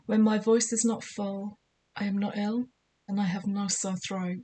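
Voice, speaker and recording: A woman with a slightly croaky voice giving spiritual guidance in a measured, soothing, deliberate way. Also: medium pitch, little pitch movement, dark tone. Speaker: spiritual guide. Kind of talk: scripted spiritual guidance talk.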